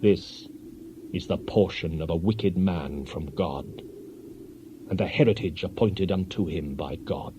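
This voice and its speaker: A man's voice: deep voice, unhurried. An actor playing a stern ancient man who condemns the wicked in a solemn, declamatory scripture dramatization. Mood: ominous, grave. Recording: dull lo-fi audio with faint noise.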